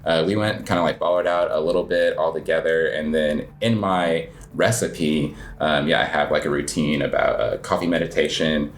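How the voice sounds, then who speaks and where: A man talks in an easy, rambling way, full of little fillers and restarts. It sounds like a relaxed interview chat. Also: a bass voice, normal speed, few pauses, slight background noise. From United States. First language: English. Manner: relaxed, rambling, heavy filler words, self-deprecating laughs. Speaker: guest, cafe owner and coffee competitor.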